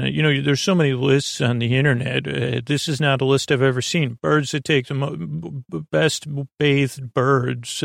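A man with a creaky voice hosting a podcast as a soothing, gently whimsical bedtime monologue, meandering and hesitant, with many tangents and pauses. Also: fairly high pitch, no echo, clean studio sound. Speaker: podcast host.

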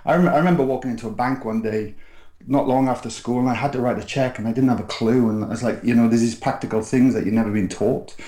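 A man's voice: mid-range voice, fast, warm tone, echoey room. A middle-aged man from South Wales with a Welsh accent, a podcast guest telling his life story in a rambling, restarting way. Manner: rambling, frequent restarts and fillers. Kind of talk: casual storytelling interview.

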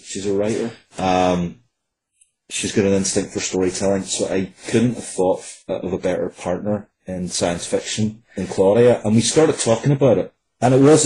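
A man's voice: deep voice, dark tone, slight background noise, low-quality recording. A man speaking as an interview guest, explaining his work earnestly and wordily, with hesitant phrasing.

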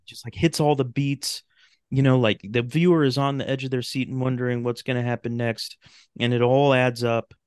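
A man's voice: medium pitch, level tone, normal speed, darkish tone, clear, resonant tone, no room sound, studio quality. A man talking casually as an interview guest, thoughtful and searching for his words. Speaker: podcast guest, writer and radio drama creator.

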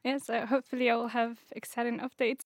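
A woman's voice: a little high, flat delivery, fast talker, dry recording, studio quality. Hesitant young-sounding woman asking questions. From Morocco. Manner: hesitant, many ums. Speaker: audience member asking a question.

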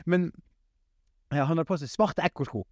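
A man co-hosting a podcast in casual, humorous chat, halting and often correcting himself mid-sentence. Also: on the high side, no room sound, studio quality. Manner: halting, self-correcting, stammering repeats. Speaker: podcast co-host.

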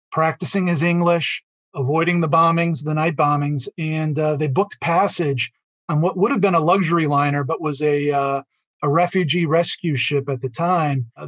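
A man's voice: fairly high pitch, no echo. A man, a guest author and marketing executive, answering interview questions with fluent, detailed storytelling and a few fillers.

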